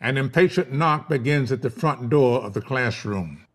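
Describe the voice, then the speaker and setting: A man's voice: mid-range voice, moderate pace. A man reading scene headings and stage directions as narrator in a scripted table read.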